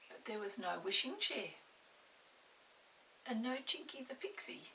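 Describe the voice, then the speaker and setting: A woman storyteller giving an expressive reading of a children's storybook, at times dropping into breathy tones. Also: on the high side, a little slow. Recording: slightly distant mic, faint hiss.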